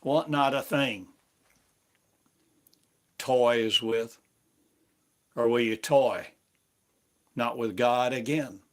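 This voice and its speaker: Slow, solemn male preacher. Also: medium pitch. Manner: solemn, measured, long pauses between phrases. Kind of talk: slow prophetic sermon speaking as God.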